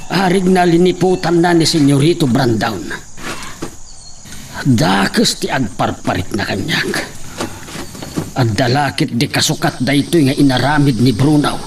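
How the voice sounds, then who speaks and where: A man's voice: mid-range voice, unhurried, long pauses, close to an everyday voice. A man playing an earnest, protective father and leader in an audio drama, speaking firmly. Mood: troubled. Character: earnest, protective father leading his companions. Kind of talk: Ilocano radio-style drama acting. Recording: noisy with chirping insects.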